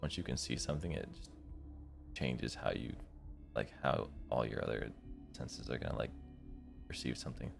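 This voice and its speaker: A man with a very deep, gravelly voice giving casual, slangy reaction commentary as a streamer. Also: normal speed, pauses often, music in the background. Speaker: streamer playing a visual novel. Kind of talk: casual reaction commentary between read-aloud passages.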